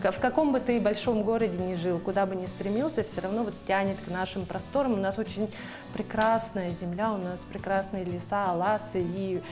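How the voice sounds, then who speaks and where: A woman's voice: mid-range voice, steady tone, a little fast. Chatty young woman on a cooking show. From Yakutia, Russia. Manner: chatty, hesitant, many fillers. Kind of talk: casual chat while cooking. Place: TV studio kitchen. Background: music.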